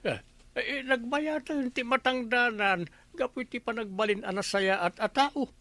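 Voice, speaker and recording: A comic Ilocano villager in a radio drama, excitable and greedy. His voice is pitched extremely high, almost in a woman's range. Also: moderate pace, no echo, heavily acted. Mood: comic complaining.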